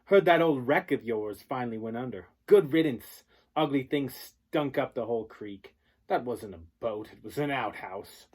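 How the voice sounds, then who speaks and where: A man's voice: medium pitch, lively intonation, moderate pace, a little mellow, husky. A man reading aloud, voicing a taunting rich boy who jeers in a sing-song way. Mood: jeering.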